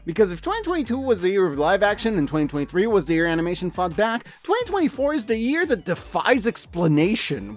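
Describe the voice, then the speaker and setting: A high-voiced man delivers scripted review commentary with lots of swooping intonation. He is emphatic and given to playful exaggeration and jokey asides. Light music plays behind him. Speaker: host reviewing animated shows and media. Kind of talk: scripted video-essay commentary. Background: background music.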